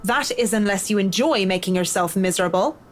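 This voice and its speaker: A woman's voice: mid-range voice, a little fast, close to an everyday voice. An actress voicing a blunt, sardonic, worldly career woman in a visual novel game. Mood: stern, advising.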